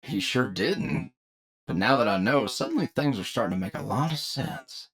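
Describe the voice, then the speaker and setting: A man's voice: mid-range voice, expressive, moderate pace, a crowd in the background, natural voice. A man voicing a wry, laid-back crewmate in a sci-fi audio roleplay, with a drawling, colloquial manner. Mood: wry, amused. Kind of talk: anime-style audio roleplay acting.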